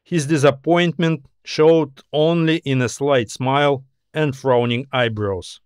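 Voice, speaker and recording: A man's voice: medium pitch, fairly even intonation, a little slow, clear, resonant tone, no room sound, studio quality. A man reading scripted science fiction narration as an audiobook storyteller.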